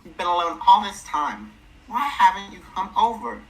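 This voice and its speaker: A woman's voice: mid-range voice, sing-song, normal speed, close to an everyday voice, poor audio. A woman playing an ailing, chatty elderly neighbour in a radio play, with a folksy, motherly, fussing manner. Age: older.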